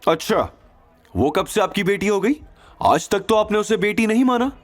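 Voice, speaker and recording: A man's voice: high voice, lively intonation, moderate pace, voice pushed up. A man playing an angry, protective young man with a firm, challenging manner in an audio drama. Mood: sarcastic.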